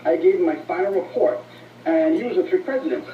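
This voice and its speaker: A man answering as an interview guest, earnest and somewhat halting, heard through radio playback. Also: sits high, far from the mic, slight background noise. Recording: dull, roomy radio playback from off mic.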